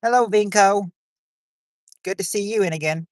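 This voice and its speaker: A man's voice: high voice, moderate pace, dry recording. Rambling male livestream host, dry sound. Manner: rambling, reads chat aloud and greets viewers. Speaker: livestream host playing a quiz game. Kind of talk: casual livestream chat.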